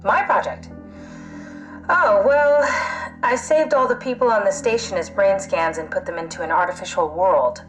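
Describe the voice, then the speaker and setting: A woman's voice: mid-range voice, moderate pace, natural voice, poor audio. Actress as pragmatic female scientist, video game drama, wry and matter-of-fact, mid-range voice over music. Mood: explanatory. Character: wry, pragmatic woman scientist living on as a brain scan.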